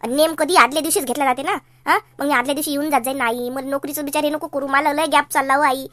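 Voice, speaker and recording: Woman voicing a high, whiny Varhadi Marathi daughter. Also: steady tone, fast, pushed and bright, no room sound. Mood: pleading. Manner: whiny, insistent, argumentative. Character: pleading, stubborn educated young unmarried daughter. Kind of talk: cartoon comedy dialogue.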